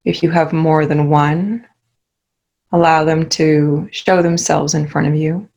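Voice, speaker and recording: Slow, low creaky woman guiding meditation. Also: highs cut off. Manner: calm, soothing instruction with many pauses. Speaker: meditation guide. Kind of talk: guided meditation.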